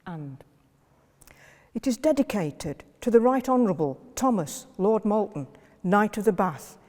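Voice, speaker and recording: Mellow-voiced woman lecturing in a hall. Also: medium pitch, animated. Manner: measured, list-like delivery with occasional fillers. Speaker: historian giving a lecture. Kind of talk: public history lecture.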